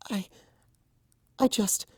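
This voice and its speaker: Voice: drawn-out words, no echo, clean studio sound. A narrator voicing a timid, anxious young female pegasus pony in a fan storybook reading, hesitant and stammering. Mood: flustered. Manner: hesitant, stammering, soft-spoken.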